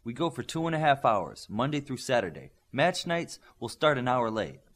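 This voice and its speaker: Man voicing a stern, demanding combat coach, animated. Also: mid-range voice, moderate pace. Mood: firm, demanding. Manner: firm, blunt. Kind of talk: audiobook character dialogue.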